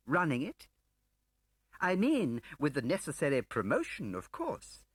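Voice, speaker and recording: High, pompous English bureaucrat. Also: male voice, fairly bright tone, no echo, full character voice. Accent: upper-class English. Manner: pompous, hesitant, ingratiating. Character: plummy, pompous, gushing male civil service chief. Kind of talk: audiobook character acting.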